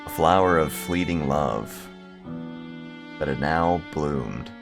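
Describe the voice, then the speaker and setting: A numb young man narrates a horror visual novel, as read by a man. The voice is deep and very unhurried, solemn and measured, over faint music. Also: lively intonation, gravelly, full character voice. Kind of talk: scripted narration read aloud.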